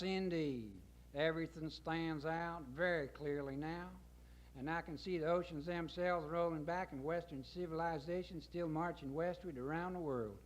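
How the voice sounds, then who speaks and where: A man's voice: fairly high pitch, a bit bright, pitched above the performer's own voice. A man voicing a pompous, folksy Texan president making self-assured war speeches in a satirical poem. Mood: grandly confident. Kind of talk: satirical poetry reading.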